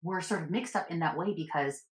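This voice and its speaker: Sex: woman. Origin: United States. Role: guest in a casual chat. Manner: lively storyteller, says "like" a lot, fairly low voice. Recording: echoey room. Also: fairly quick. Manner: lively storyteller, heavy use of "like". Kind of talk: casual conversation.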